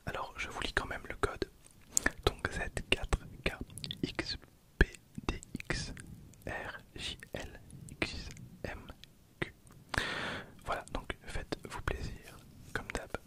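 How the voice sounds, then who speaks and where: A man in his twenties whispering softly as an ASMR host, hesitant and often repeating his words. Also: slow, long pauses. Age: 20s. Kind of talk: whispered ASMR card-opening commentary.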